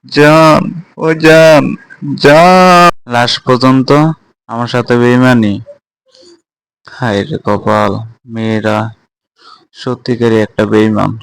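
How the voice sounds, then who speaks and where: A man of middling pitch performs amateur cartoon dialogue and stories. His voice is creaky and gravelly, with a melodramatic way of talking. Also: slow pace, pauses often. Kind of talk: amateur cartoon voice acting and storytelling.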